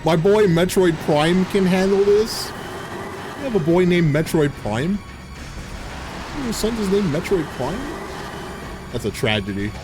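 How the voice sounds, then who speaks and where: Man in his thirties, streamer, jokey and excitable, bouncy animated pitch, game music behind. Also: unhurried, pauses often. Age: 30s. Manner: excitable and jokey, laughing often. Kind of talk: casual live gameplay commentary.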